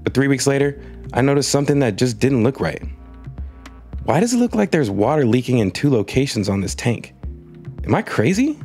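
A man's voice: mid-range voice, sing-song, brisk, darkish tone, soft background music. A man narrating a scripted space news video, telling the story with wry comic asides.

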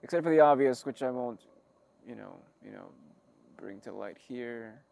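Halting man with a creaky voice. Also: medium pitch, a little mellow. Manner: halting, self-interrupting, wry and figurative. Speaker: creator of an audio log channel. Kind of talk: rambling personal monologue.

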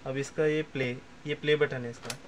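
A man's voice: medium pitch, fairly even intonation, moderate pace, rough recording. A man giving a casual product demonstration as a seller, repeating himself as he explains each step. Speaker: seller presenting second-hand audio equipment. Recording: clear background noise, amateur room recording.

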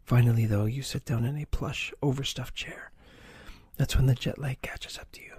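A man reading an adventure gamebook aloud in a soft, breathy ASMR whisper, stopping to choose pages. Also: mid-range voice, steady tone, normal speed, no room sound, studio quality. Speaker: reader of a choose-your-own-adventure book.

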